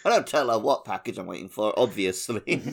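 Low-voiced man chatting casually. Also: expressive, fairly quick, gravelly. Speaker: podcast host. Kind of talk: casual chat, anecdotes.

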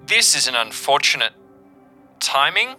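A man voicing a cryptic, formal stranger in an audio drama, speaking in slow, measured phrases. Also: medium pitch, big pitch swings, music in the background, voice pushed up. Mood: ominous, formal. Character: cryptic, formal mysterious contact speaking over a crackly radio.

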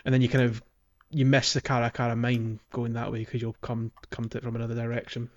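A man's voice: mid-range voice, completely flat delivery, fast talker, lo-fi sound. A man co-hosting a casual podcast about a video game, talking in a loose, chatty way with many fillers and restarts. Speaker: podcast co-host.

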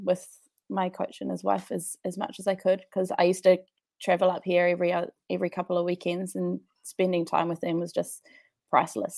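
Low, gravelly New Zealand woman. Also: warm tone, no echo. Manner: chatty, laughs often, many restarts. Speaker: podcast guest, CrossFit athlete. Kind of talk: casual interview chat.

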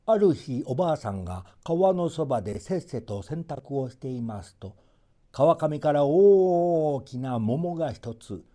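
Male storybook narrator: soft, mellow tone, expressive measured folktale delivery with playful emphasis. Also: a little high.

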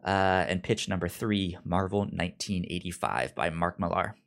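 A man's voice: low voice, moderate pace, gravelly. A man co-hosting a podcast in casual, unscripted chat, often stammering and repeating syllables. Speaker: podcast co-host.

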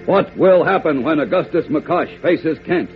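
A man's voice: a little high, a little slow. A man narrating a vintage radio serial as announcer in a suspenseful, theatrical style. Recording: muffled old radio, loud music. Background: loud music.

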